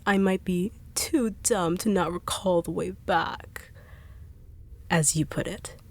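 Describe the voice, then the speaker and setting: A woman voicing a smug, cruel female treasure hunter who taunts in slow, purring tones with whispered asides. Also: deep voice, exaggerated voice. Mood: menacing. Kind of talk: audio roleplay drama.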